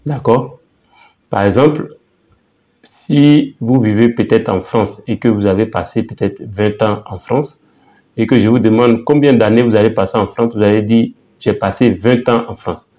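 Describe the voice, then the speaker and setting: A man teaching a language lesson in a casual, halting way, often repeating words and saying phrases slowly. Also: fairly low pitch, mellow, lo-fi sound. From Côte d'Ivoire.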